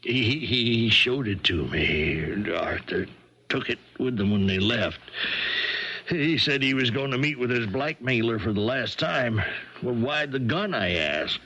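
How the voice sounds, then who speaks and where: An actor playing an anxious, burdened townsman in a 1970s radio drama, halting and agitated as he speaks. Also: medium pitch, pushed and bright, slightly rough, full character voice. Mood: worried, halting. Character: anxious male townsman guarding a secret, burdened, defensive. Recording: dull 1970s radio broadcast recording.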